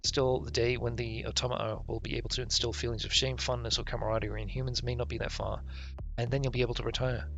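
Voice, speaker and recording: A man's voice: mid-range voice, little pitch movement, fast talker, vocal fry, a bit bright, slight background noise. A man live-streaming a game, reading its text aloud and adding casual, sweary, offhand comments, with game music behind him. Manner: casual, profane, offhand asides.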